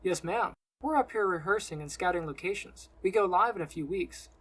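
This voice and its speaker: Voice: mid-range voice, brisk, natural voice, rough recording. A narrator voicing a cocky, showy, hot-headed young man in an audiobook. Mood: smug.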